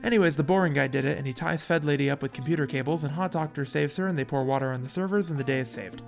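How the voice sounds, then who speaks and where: A man with a slightly high voice narrates a scripted video essay. His delivery is casual and wry, leaning into dramatic storytelling. Soft guitar music plays behind him. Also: very fast, vocal fry.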